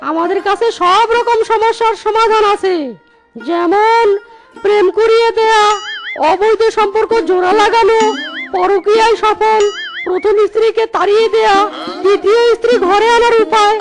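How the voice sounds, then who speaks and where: A man voicing a mischievous, grumbling cartoon troublemaker with a cheeky, taunting manner and a pitched-up voice. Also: fairly even intonation, moderate pace, loud music behind the voice. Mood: cheeky, salesmanlike.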